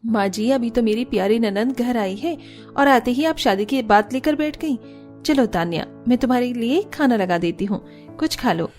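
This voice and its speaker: A woman's voice: fairly high pitch, expressive, normal speed, a little mellow, faint music behind, close to an everyday voice. A woman voicing a protective, bossy and teasing young sister-in-law in a cartoon. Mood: caring. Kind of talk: Hindi cartoon voice acting.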